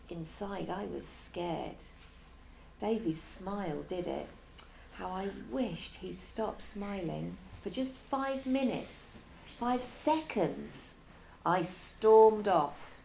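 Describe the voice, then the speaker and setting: A female teacher reading a children's story aloud, with expressive delivery and dramatic pauses. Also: lowish voice, vocal fry, a little hiss or hum.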